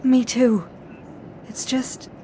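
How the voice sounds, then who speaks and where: A woman playing a troubled, gentle young telepath in an audio drama, speaking earnestly and introspectively. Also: sits high, fairly even intonation, extremely slow, slight background noise, low-quality recording. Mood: hesitant, shaken.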